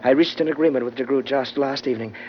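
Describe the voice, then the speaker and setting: An actor playing a huge, dainty Chinese crime boss in a 1940s radio drama, silky, formal and mock-polite. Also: male voice, medium pitch, quick pace, lightly acted. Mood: suavely pleased. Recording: muffled, noisy old radio recording.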